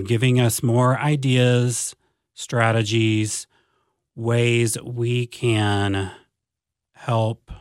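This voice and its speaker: A man in his forties talks about his work at a deliberate, unhurried pace, pausing on ums and restarting his phrases. His voice sits on the low side. The sound is dry and close. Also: dry recording. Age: 40s. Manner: slow, measured, with many ums and restarts. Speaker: district advanced academics coordinator. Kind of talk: podcast interview guest.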